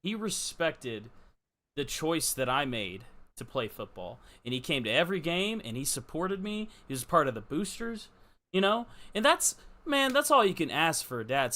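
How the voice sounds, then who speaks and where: A man's voice: mid-range voice, bright, forceful tone. A man co-hosting a casual live football talk show, speaking in an earnest, emphatic and informal way. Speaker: podcast co-host.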